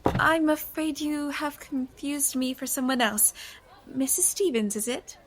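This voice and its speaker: An actress playing an anxious, principled young heroine in an audio drama, hesitant and cautious. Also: female voice, high-pitched, low-quality recording. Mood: guarded, polite. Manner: hesitant, halting.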